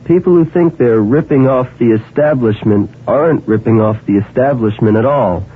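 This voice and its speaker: A man's voice: medium pitch, moderate pace, breathy edge. A man reading a scripted public service announcement, earnest and persuasive, putting direct questions to the listener. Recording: tinny old AM radio broadcast.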